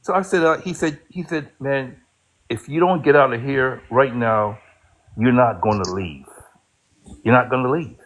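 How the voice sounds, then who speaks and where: A man in his fifties from Barbados, a military veteran, telling a story casually in a halting way. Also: mid-range voice, poor audio. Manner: halting, many fillers and restarts while telling a story.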